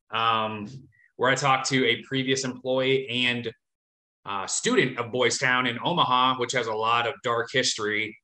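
Bright Midwestern man in an echoey room. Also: mid-range voice. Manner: upbeat, rambling, lots of fillers. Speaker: YouTube host and interviewer. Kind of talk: casual interview chat.

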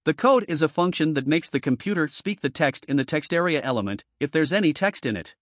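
Male tutorial narrator, slightly high-pitched, scripted instructional read, very dry sound. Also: expressive, fairly quick, no echo. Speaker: programming tutorial presenter.

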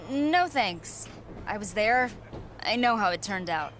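A woman's voice: medium pitch, expressive, a little slow, fairly bright tone, slight background noise. A woman voicing a confident young female rookie wrestler who talks coolly. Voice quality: confident, cool.

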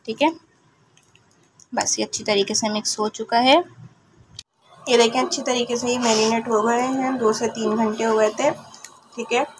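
Woman cooking host, even and homely delivery, phone-recorded in her kitchen with background hum. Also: a little high, unhurried, long pauses. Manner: homely, repeats "ठीक है" and "ये देखें", explains patiently. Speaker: home cooking channel host. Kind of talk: step-by-step recipe narration. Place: home kitchen.

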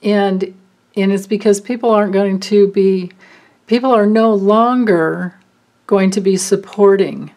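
A woman doing a card reading, thinking aloud with many pauses and fillers. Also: mid-range voice, fairly even intonation, a little mellow. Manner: slow, musing, thinking aloud. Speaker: card reader. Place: indoors at a table, hands and cards filmed from above.

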